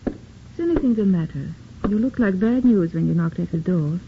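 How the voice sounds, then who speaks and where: A woman's voice: fairly low pitch, expressive, normal speed. Curious young woman, 1950s radio drama, courteous but probing, lo-fi recording with faint noise. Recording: dull, faintly noisy old radio recording.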